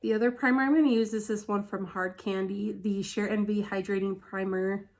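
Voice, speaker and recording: A woman's voice: medium pitch, fairly even intonation, a little fast. A woman from Utah vlogging a casual get-ready-with-me, chatty and candid, her voice congested and stuffy from a head cold. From Utah, USA. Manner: chatty and candid, many "like" fillers. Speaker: beauty vlogger.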